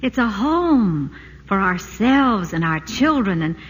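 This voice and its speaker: A woman's voice: mid-range voice, big pitch swings, takes it slowly. An actress playing a cheerful, devoted wife and mother in a 1940s radio drama, with a sweet, coaxing manner. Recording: old radio broadcast with long reverb, faint hiss.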